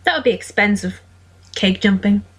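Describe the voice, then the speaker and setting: A woman's voice: mid-range voice, lively intonation, moderate pace, slightly rough. A woman voicing a sardonic seventeen-year-old boy, bantering in a dry, mock-formal way for an amateur audio drama.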